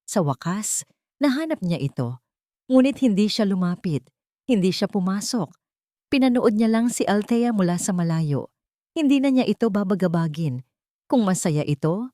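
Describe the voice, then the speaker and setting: A woman's voice: low-pitched, warm tone, dry recording, clean studio sound. A woman reading a scripted romance story as a storyteller.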